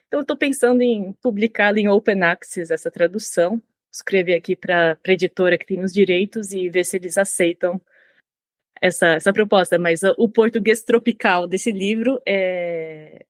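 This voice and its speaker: Brazilian woman talking it through slowly in an interview, mid pitch, dry close sound. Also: no echo. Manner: reflective, with frequent restarts. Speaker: guest, language consultant. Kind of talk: conversational interview.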